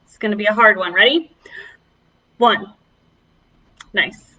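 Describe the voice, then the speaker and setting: A woman's voice: mid-range voice, measured pace, lo-fi sound. A woman children's librarian leading a preschool storytime, speaking to young children in an encouraging, exaggerated way.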